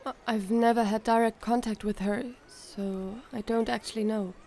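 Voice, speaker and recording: A guarded, sluggish young character from an audio drama, played by a woman. The voice sits a little high and speaks in an earnest, halting way. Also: fairly even intonation, dark tone, slight background noise, lightly acted. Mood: weary, earnest.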